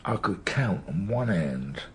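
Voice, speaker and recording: An older man, an actor playing a weary, brooding veteran police detective with a dry, measured, probing manner in a radio drama. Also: sits low, animated, a bit bright, slightly rough, heavily acted. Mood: brooding, intense. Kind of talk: naturalistic radio drama acting.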